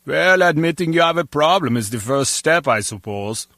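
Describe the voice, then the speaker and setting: A man's voice: on the high side, expressive, normal speed, bright, forceful tone, no echo, studio quality. An actor playing a mild, inquisitive male guard in a video game, talking conversationally. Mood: curious. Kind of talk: video game character dialogue.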